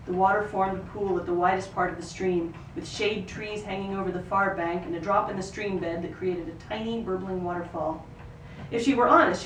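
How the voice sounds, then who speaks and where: Female reader for literary fiction, expressive third-person storytelling, recorded off-mic in a roomy space. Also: fairly low pitch. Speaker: actor reading a book excerpt. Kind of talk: live literary reading, third-person narration. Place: live reading in a roomy venue. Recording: roomy, distant microphone, noisy ambience.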